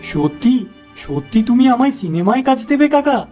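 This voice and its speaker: A man's voice: very high-pitched, big pitch swings, fairly quick, mellow, soft background music. A man voicing a gullible, starry-eyed young village shop lad in a cartoon, eager and earnest. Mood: eager, awed. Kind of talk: Bengali cartoon fable voice acting.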